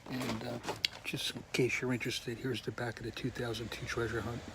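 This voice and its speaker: A man slowly showing off his toy collection in a casual video, pausing often with ums and clearing his throat while losing his voice. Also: medium pitch, little pitch movement, very noisy. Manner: slow, halting, many ums and uhs, frequent throat clearing. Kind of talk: casual show-and-tell.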